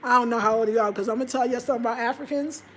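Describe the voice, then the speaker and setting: An American woman in her fifties chatting casually and expressively to viewers, telling stories in an animated, conversational way. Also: on the high side, very fast, slight background noise. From United States. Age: 50s. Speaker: vlogger. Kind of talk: casual livestream chat, storytelling to viewers.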